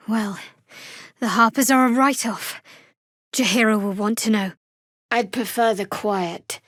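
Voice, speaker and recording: A young female cleric of a dark goddess , acted by a woman, speaks in a fairly high voice. She is guarded and wry, with a cool, sardonic edge. It is scripted fantasy game dialogue, recorded very dry with no room sound. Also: no echo, close to an everyday voice. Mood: composed. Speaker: video game character.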